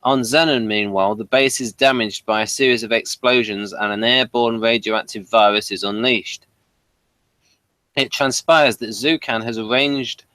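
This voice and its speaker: A man's voice: fairly low pitch, pushed and bright, no echo. Dry-sounding man hosting a review show. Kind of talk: reading an episode synopsis, then prompting discussion.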